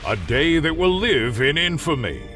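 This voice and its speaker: A militarist future's propaganda newsman for a game. He is formal, dramatic and very animated, in a fairly high voice, with a distant broadcast sound and music behind him. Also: moderate pace, a little hiss or hum. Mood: rousing, patriotic. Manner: grandiose, formal, dramatic announcing. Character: bombastic, patriotic male propaganda newscaster of a militarist future. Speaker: propaganda newsreel announcer. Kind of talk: satirical wartime propaganda news broadcast narration.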